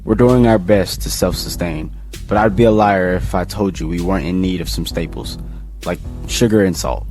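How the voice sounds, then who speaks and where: A man playing a steady, folksy leader of a survivor town in an audio drama. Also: sits low, normal speed, soft background music. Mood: measured.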